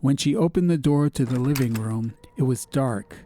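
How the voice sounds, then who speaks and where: A man's voice: mid-range voice, moderate pace, dark tone, slight background noise. A man reading an atmospheric story as an audiobook narrator, in a scripted literary style.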